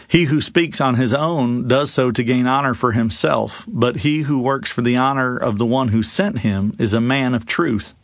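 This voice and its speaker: A man's voice: mid-range voice, moderate pace, few pauses, no echo, clean studio sound, lightly acted. A man voicing an earnest male teacher addressing a crowd in an emphatic, declarative way in a scripture reading. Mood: firm, earnest.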